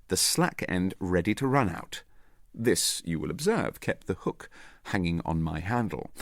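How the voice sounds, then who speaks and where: Urbane, low-voiced British gentleman agent, read by a man. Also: dry recording, clean studio sound. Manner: urbane, methodical, quietly pleased with himself. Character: self-assured gentleman agent recounting his clever trick.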